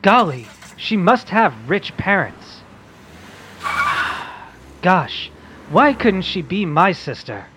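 An adult playing an excitable, wholesome boy who hosts a radio quiz, read in the manner of an old comic-book radio play. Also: mid-range voice, drawn-out words, pauses often, noisy background. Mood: eager.

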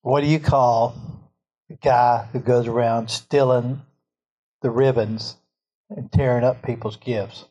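Staff man, lilt, slow and deadpan with his joke delivery. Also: medium pitch, dull audio. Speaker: staff member. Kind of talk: telling corny jokes.

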